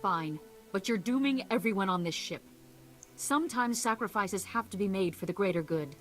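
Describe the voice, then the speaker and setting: A woman's voice: medium pitch, expressive, normal speed, fairly bright tone, faint music behind, natural voice. A woman playing an urgent, coldly pragmatic diplomat with a commanding, formal manner in a video game. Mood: resigned, cold.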